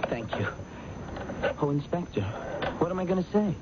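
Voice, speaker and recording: A man's voice: high voice, very animated, moderate pace. An actor playing a polite, ordinary male office worker in a 1970s radio drama, hesitant and deferential, tripping over his words. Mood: anxious, apologetic. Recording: muffled, noisy 1970s radio broadcast.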